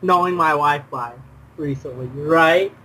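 High-voiced young man, slightly noisy mic. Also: a little slow, pushed and bright. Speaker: gamer. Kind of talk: casual chat during online game.

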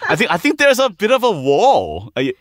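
A man hosting an interview podcast, chatty and quick to laugh, often restarting his phrases. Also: high voice, huge pitch swings, a little hiss or hum. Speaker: podcast host. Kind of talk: casual interview.

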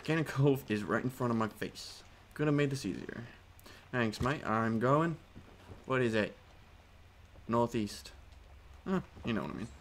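A man with a put-on British accent, giving casual, excitable commentary over his own gameplay and swearing as he reacts. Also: medium pitch, measured pace, a little hiss or hum. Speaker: gaming channel host.

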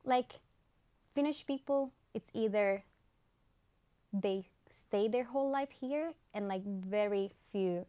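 A young woman from Nicaragua, a guest in a casual interview, telling lively stories and laughing often in a small room. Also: medium pitch, slow.

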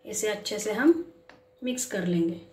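A woman's voice: medium pitch, normal speed, a little mellow. A woman hosting a casual home recipe demonstration, explaining each step simply in instructional fashion. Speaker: home cook, cooking show host. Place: home kitchen, indoors. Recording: echoey room.

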